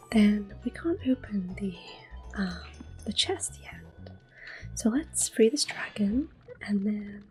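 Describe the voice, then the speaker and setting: A woman whispering gently and unhurriedly in a soft, breathy voice, commentating a game in ASMR style up close to the microphone. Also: medium pitch. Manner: gentle, unhurried, softly spoken. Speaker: ASMR gaming host.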